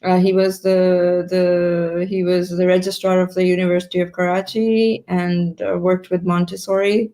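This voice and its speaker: A woman in her fifties, born in Pakistan, answering interview questions on a video call, often pausing to rephrase her sentences. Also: lowish voice, little pitch movement, warm tone. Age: 50s. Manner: thoughtful, stops to rephrase mid-sentence. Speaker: guest entrepreneur.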